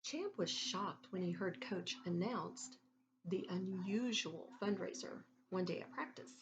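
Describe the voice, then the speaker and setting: A woman reading a children's story aloud in an expressive, deliberate teacherly way, pausing to put questions to her listeners. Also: lowish voice, lo-fi sound, noisy background.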